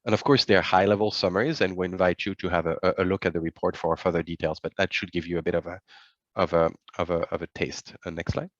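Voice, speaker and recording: Man, very low voice, halting webinar presenter, lots of fillers and restarts, dull dry lo-fi sound. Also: steady tone, no room sound. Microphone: video call.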